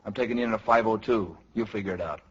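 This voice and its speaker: An actor playing a deadpan knight who talks like a clipped, polite police detective in a 1950s radio parody. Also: male voice, on the low side, fast, husky. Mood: deadpan, businesslike. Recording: dull, very noisy 1950s radio recording.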